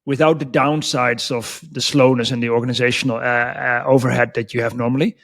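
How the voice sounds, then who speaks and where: A man's voice: medium pitch, steady tone, brisk, gravelly, fairly bright tone. Male podcast host with a mid-range voice, loose casual questions, sums up and asks for confirmation. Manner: thinks aloud, checks with "is that fair?".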